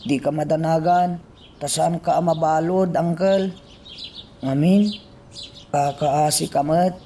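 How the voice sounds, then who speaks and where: A man playing an earnest young son in a radio drama, speaking gently and slowly. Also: a little high, fairly even intonation, slight background noise. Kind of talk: amateur radio drama acting.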